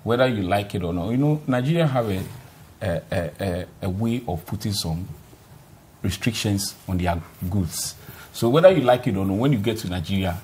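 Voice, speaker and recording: A man's voice: fairly low pitch, a little mellow, a little hiss or hum. A man, an importer on a TV panel, who starts hesitantly and then argues with rising emphasis. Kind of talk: panel discussion.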